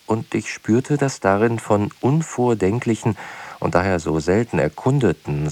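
A man recalls things in a reflective, literary way. His voice is very low, and he builds long, winding sentences at a measured step. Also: darkish tone.

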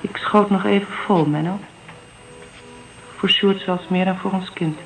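Actress doing a young divorced mom in an old radio play, tender and candid, mid-range voice. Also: female voice, mid-range voice, steady tone, a little slow, close to an everyday voice, low-quality recording. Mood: wistful. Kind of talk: Dutch radio drama acting.

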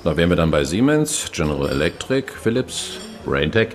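An opinionated male press journalist in a radio crime drama. He debates and explains in a low voice with very lively intonation, sounding sure of his facts. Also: moderate pace. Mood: keen, impressed. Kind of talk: conversational radio drama acting.

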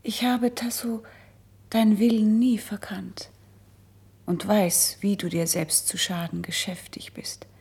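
Gentle princess, very breathy husky voice, creaky edges, composed, wistful, elevated verse, classic radio drama. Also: female voice, medium pitch, little pitch movement, takes it slowly, heavily acted. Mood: gentle reproach. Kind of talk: classic German radio play, stage verse acting.

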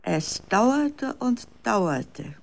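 A woman narrating a children's story in a dramatic, expressive scripted style. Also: medium pitch, extremely slow, vocal fry.

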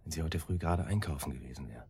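Gravel-husky, brooding older henchman. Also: extremely low pitch, fast, a little mellow, full character voice. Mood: brooding doubt. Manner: hesitant, brooding, reasoning. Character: older, brooding, cautious male henchman with doubts. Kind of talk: radio drama acting.

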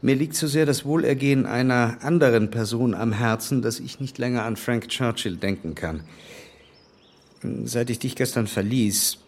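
An actor playing an earnest, tender English gentleman in love in a period radio play, gentle and measured. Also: mid-range voice, close to an everyday voice. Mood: earnest, devoted. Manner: gentle, measured, formally polite. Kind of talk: German radio play acting of period novel.

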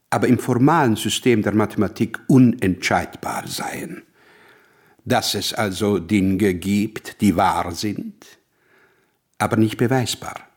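A low, husky male voice for a radio play: a brilliant, melancholic mathematician. He speaks deliberately, with wry pomposity and a sense of urgency. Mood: self-assured, measured. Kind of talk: radio drama acting.

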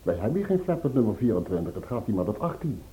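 A courteous concierge, lively intonation. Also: male voice, lowish voice, fast. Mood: polite, certain. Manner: courteous, matter-of-fact. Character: polite, firm apartment-building concierge, male. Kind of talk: Dutch radio play acting. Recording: dull old radio recording.

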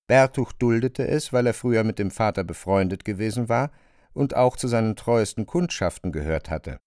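Man voicing a grave, measured narrator. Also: lowish voice, clean tone, dry recording, studio quality, natural voice. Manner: calm, measured reading. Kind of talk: radio play narration. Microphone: close, dry studio mic.

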